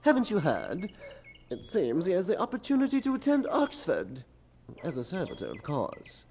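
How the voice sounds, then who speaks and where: An actor playing an approving, good-humoured schoolmaster in a radio drama, speaking in a formal, measured way. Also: male voice, high-pitched, huge pitch swings, darkish tone, slight background noise, full character voice. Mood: earnest, pleased.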